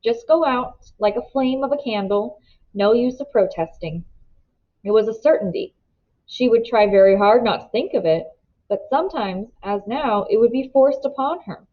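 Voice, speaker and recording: A woman with a fairly high voice reads a story aloud. Her narration is expressive, in the manner of a read-aloud, and the recording sounds a bit dull. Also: moderate pace.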